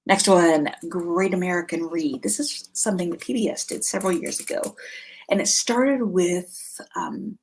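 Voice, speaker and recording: A middle-aged woman hosting a vlog, talking casually and conversationally, thinking aloud as she gives recommendations, with a slightly husky voice. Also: fairly low pitch, moderate pace, low-quality recording. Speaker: homeschool vlog host. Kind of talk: casual informal product recommendations to camera.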